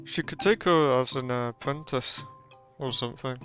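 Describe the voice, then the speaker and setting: A woman's voice: rumbling low, moderate pace, a bit bright. A woman giving casual commentary as a game streamer. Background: game music.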